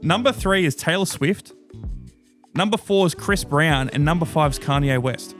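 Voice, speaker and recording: A man's voice: fairly high pitch, normal speed, a bit bright, soft background music. A man hosts a podcast in casual, informal banter. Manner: informal, sweary. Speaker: podcast host.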